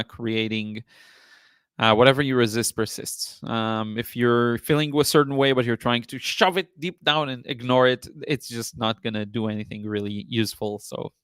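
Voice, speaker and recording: Male live stream host, mid pitch, slightly hoarse, rambling and thankful, chatty delivery, very dry room. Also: a bit bright, no room sound. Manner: rambling, appreciative, often thanking viewers. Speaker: live stream host, watercolor painting teacher. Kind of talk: casual chatty live stream, answering viewer chat.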